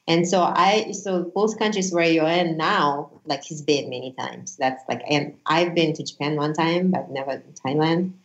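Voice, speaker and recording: A woman in her forties, a Russian-speaking immigrant from Uzbekistan, sharing her story as a guest in an earnest, animated way. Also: low-pitched, roomy sound, dull audio. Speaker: guest, former attorney. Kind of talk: casual interview chat.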